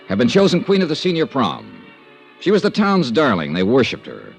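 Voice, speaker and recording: A man's voice: on the low side, gravelly, heavily acted. An American man acting a skeptical, dogged insurance investigator in an old-time radio detective drama, with a probing, clipped, wry way of talking. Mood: brooding narration. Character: skeptical, dogged male insurance investigator, hard-boiled and dry. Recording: vintage radio recording.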